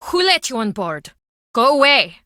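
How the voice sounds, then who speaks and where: A bitter, guarded woman who runs a small-town pub in a video game. Her voice is fairly high and sharply bright, with curt, brooding, dramatic phrasing. The recording is dry and close. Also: big pitch swings, moderate pace, no echo, exaggerated voice. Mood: terse. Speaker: game character.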